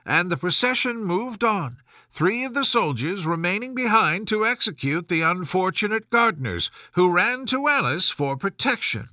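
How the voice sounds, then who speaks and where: A man narrating a children's bedtime story from a script as an expressive storyteller. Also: sits high, normal speed, dry recording, studio quality.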